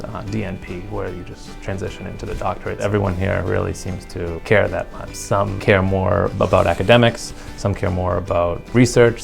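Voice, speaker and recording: A man speaks in a deep voice heavy with fry. He stays flat in pitch through an informal testimonial, with guitar music behind him. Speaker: nurse practitioner student.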